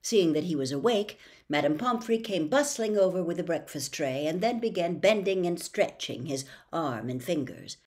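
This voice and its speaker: An older woman in her sixties reading a novel aloud in an expressive, theatrical storytelling style. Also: fairly low pitch, moderate pace, hardly any pauses. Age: older, 60s. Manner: theatrical, acts out dialogue. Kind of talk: expressive audiobook-style narration. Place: small bedroom at home.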